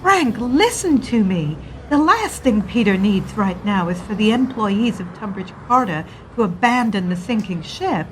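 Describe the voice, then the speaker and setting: An actress playing an anxious, secretive senior bank worker in a radio play, speaking with urgency and emphasis. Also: female voice, on the high side, rough recording. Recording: clear background noise.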